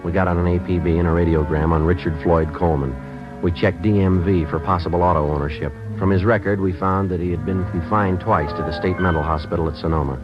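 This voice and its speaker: An actor in 1950s radio drama playing a police sergeant who narrates and questions in a clipped, matter-of-fact way. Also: male voice, low voice, fairly even intonation, quick pace, close to an everyday voice. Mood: terse. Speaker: detective narrator. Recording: muffled old radio broadcast with music.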